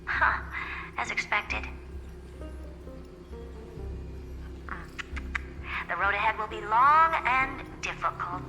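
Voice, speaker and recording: An actress playing a brisk, haughty, self-assured genius woman in a video game, smug and blunt in her delivery. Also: medium pitch, lively intonation, long reverb tail, over music, rough recording. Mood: matter-of-fact. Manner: smug, blunt, matter-of-fact.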